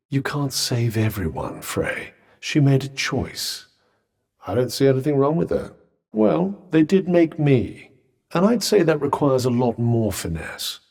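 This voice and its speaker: An actor with a British accent voicing a haughty, dryly mocking magical bracelet who serves as a condescending video game companion. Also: male voice, a little high. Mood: smug, disapproving. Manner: sardonic, condescending, droll.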